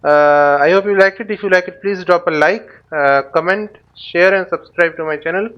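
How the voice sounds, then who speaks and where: A man hosting a flight simulator tutorial, thinking aloud in short, halting phrases as he casually walks through what he is doing. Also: high-pitched, clean tone. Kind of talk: casual spoken walkthrough while flying a simulator. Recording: dull, lo-fi microphone sound.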